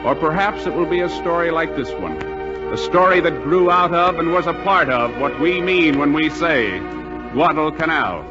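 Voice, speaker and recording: A man's voice: a little high, animated, moderate pace. An American man narrating a scripted 1940s radio drama as announcer, solemn and declamatory. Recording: muffled 1940s radio, loud music behind.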